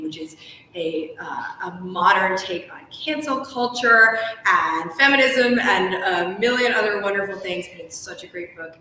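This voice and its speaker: Female interview host, lowish bright voice, effusive and wordy, recorded at a distance in an echoey room. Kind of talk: live on-stage style book interview, conversational. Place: large ornate hotel room, indoors. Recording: echoey room, distant mic, faint noise.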